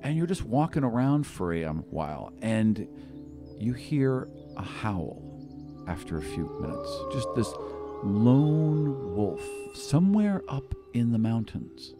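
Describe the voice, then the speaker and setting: A man's voice: mid-range voice, big pitch swings, slow pace, over music. A man working as a game master, improvising the narration of a tabletop roleplaying game in a casual, agreeable, conversational way.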